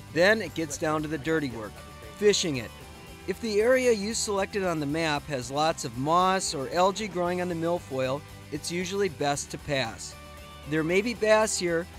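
Male American fishing show narrator, fairly high register, light music underneath. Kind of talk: scripted narration and on-the-water chat.

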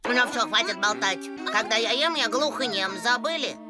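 An actor voicing a brisk, confident little animal in a dubbed children's cartoon. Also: mid-range voice, close to an everyday voice. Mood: bossy. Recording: music behind the voice.

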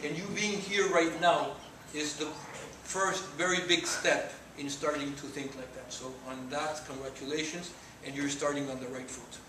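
A man's voice: a little high, steady tone, normal speed, hardly any pauses. A man in his forties from Mexico with a Mexican accent, giving an earnest talk to students in a lecture room. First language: Spanish. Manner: earnest, gesturing while speaking. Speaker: guest speaker. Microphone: far camera. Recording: echoey room from far off, noisy.

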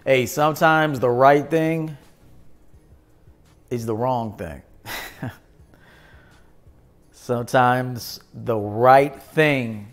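Gravelly laid-back man hosting a livestream. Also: mid-range voice, very slow, pauses often, faint music behind. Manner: laid-back, shouting out viewers by name. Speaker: host. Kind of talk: live-stream chat reading comments. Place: small indoor studio, plain wall.

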